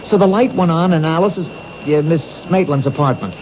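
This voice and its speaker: An actor playing an earnest, anxious American police detective in a radio drama, with a hesitant, halting delivery. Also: male voice, fairly high pitch, natural voice. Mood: insistent. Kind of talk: old-time radio drama acting. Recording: noisy, muffled vintage radio broadcast.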